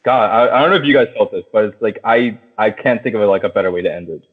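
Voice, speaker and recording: A low-voiced man hosts a casual movie review podcast over thin, phone-like audio. He talks loosely and enthusiastically, with plenty of swearing and filler words. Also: fast. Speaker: podcast host.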